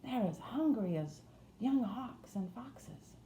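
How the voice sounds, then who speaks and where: Woman playing a blunt young Yorkshire housemaid, raised pitch. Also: expressive, takes it slowly, warm tone. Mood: scolding. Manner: plain-spoken, dialect thee and thou. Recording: roomy echo, faint noise.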